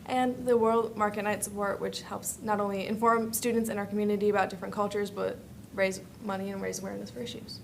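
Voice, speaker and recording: Teenage female, student presenter, informal, chatty, upbeat, medium pitch, faint background noise. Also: little pitch movement, fast, gravelly, hardly any pauses. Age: teens. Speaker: student club member presenting. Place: indoor school board meeting room. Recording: faint room noise, public meeting.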